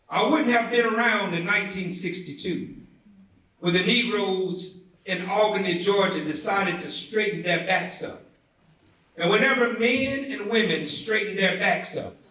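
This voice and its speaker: High-pitched man orating in an echoey hall. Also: bright, forceful tone. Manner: oratorical, deliberate, measured phrasing with emphatic swells. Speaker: reader at a commemorative event. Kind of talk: dramatic reading of a historic civil rights speech. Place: indoor theatre event, heard from a distance. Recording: echoey indoor hall, far from the mic.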